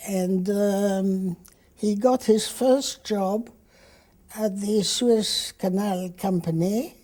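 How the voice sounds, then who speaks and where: An older woman from Egypt, raised speaking Hebrew, tells her family history at an unhurried pace. Her voice is dark and mellow, and her reflective storytelling is full of small hesitations. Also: mid-range voice. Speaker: interviewee. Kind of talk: oral history interview.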